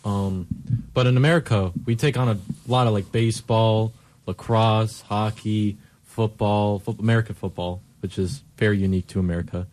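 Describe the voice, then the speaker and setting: A man's voice: on the low side, steady tone, moderate pace, darkish tone. A young man speaking as a guest on a radio talk show, chatty with many likes. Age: young adult. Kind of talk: casual discussion.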